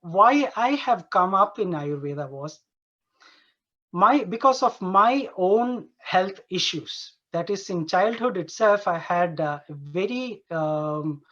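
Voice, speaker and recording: A man from Dubai giving an informal conference presentation as an Ayurveda doctor, earnest and halting, often repeating and rephrasing his phrases. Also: high voice, animated, bright, forceful tone. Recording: dull, lo-fi online conference audio.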